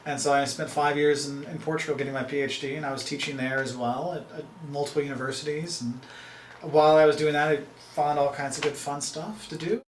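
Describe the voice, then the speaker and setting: Casual rambling male professor and travel host, fairly high voice, echoey room. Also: fairly even intonation, brisk, a little hiss or hum. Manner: rambling, chatty, full of fillers and restarts. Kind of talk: casual talk to camera.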